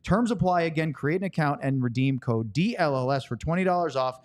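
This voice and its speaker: Man, fairly high pitch, sports show host, conversational presenting. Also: animated, fairly quick, darkish tone. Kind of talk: conversational hosting and ad reads.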